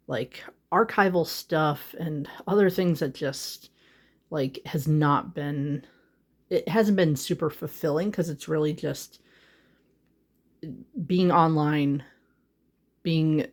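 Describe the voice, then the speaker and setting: A young adult sits in a home office and talks into a phone held in their hand, chatting casually and drifting from thought to thought. Their speech is full of "like" and "um," soft and a little self-conscious, and it often sinks into gravelly fry at a middle pitch. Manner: rambling, full of "like" and "um" fillers. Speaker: vlogger. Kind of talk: casual chat.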